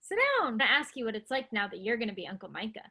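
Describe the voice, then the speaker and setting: An actress plays an affectionate young expectant mother in a play reading. Her voice is bright and fairly high, with an upbeat, excitable way of speaking. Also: fast talker, breathy edge, full character voice. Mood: excited.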